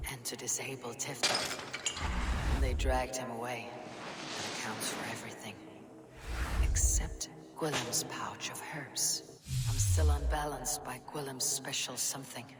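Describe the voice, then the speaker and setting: A woman playing a wary, determined Viking warrior in a video game, speaking in a measured, terse way. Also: rumbling low. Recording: music behind the voice. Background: game music.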